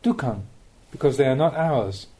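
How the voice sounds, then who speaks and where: A man reading spiritual teachings aloud as an audiobook narrator, in a measured, instructive manner with emphatic phrasing. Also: medium pitch, expressive, warm tone.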